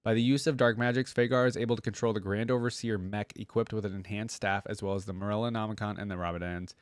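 A man's voice: fairly low pitch, fairly even intonation, quick pace, clear, resonant tone, no room sound, studio quality. A man hosting a reaction video, reading aloud and breaking off for casual, exclaiming asides.

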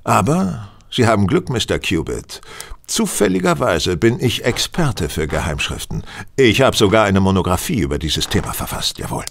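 An actor playing a self-assured, vain master detective in an audio drama, courteous, pompous and measured. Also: male voice, lowish voice, big pitch swings, creaky, exaggerated voice. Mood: self-satisfied. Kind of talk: German audio drama acting.

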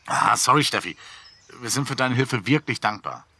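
A man's voice: medium pitch, animated, normal speed, bright, forceful tone, breathy edge, full character voice. A man playing a bantering young podcaster in a German audio drama, casual and teasing. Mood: playful, bantering. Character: Marius, bantering young male podcaster reporting on a garden hole.

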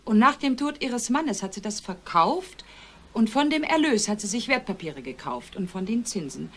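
A woman's voice: a little high, sing-song, normal speed, fairly bright tone. An actress playing a composed married woman giving plain, informative testimony in a German crime radio drama. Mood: factual, reserved.

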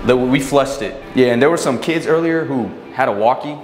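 A man's voice: mid-range voice, normal speed, soft background music, poor audio. A young man hosting a prank video, talking in casual slang as he is filmed out and about. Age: young adult. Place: out and about in car parks and stores.

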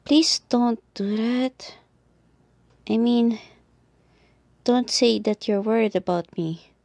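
A woman as a slow, creaky-voiced tsundere girl. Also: fairly high pitch, darkish tone, no echo. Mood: insistent. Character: reluctant, flustered tsundere girl, a childhood friend. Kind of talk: ASMR roleplay acting.

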